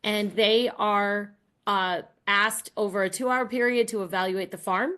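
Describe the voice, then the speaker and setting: Female American academic guest, middle-aged, average pitch, informal explanatory talk. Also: fairly even intonation, unhurried, sharp tone, clean tone. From Rhode Island and Massachusetts, United States. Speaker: guest, college professor and team coach. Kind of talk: conversational explanation.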